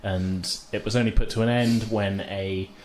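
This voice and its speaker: A man's voice: on the low side, fairly even intonation, normal speed, a little mellow. A man hosting a tabletop role-playing game as game master, narrating in a casual, loosely improvised way. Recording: noisy room ambience.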